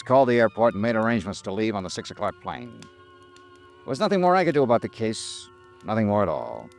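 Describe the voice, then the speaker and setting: An actor playing a brooding, hard-boiled insurance investigator in an old-time radio noir, terse and wry in dialogue and narration. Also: male voice, mid-range voice, gravelly.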